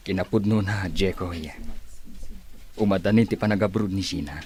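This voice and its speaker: A man's voice: low-pitched, moderate pace, a little mellow, close to an everyday voice. A man playing a serious middle-aged village uncle in a radio drama, with an Ilocano Filipino accent.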